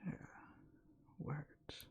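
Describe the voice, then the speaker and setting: A young man whispering in a soft, rambling way close to the mic, sleepy and sometimes losing his words, for boyfriend roleplay ASMR. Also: very slow. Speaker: ASMR creator.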